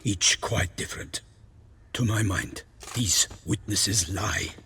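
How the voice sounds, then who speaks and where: An actor playing a grave, skeptical knight who speaks ominously in a fantasy game. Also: male voice, lowish voice, slow, bright, forceful tone, a little hiss or hum, heavily acted. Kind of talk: cinematic fantasy game dialogue.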